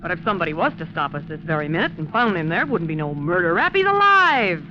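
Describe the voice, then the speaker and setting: Radio noir villainess: callous, greedy, slangy, pushy. Dull, noisy 1940s sound. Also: female voice, lowish voice, big pitch swings, fast talker. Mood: scornful, impatient. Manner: slangy, scornful, bossy. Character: brazen, callous female killer, bossy and greedy.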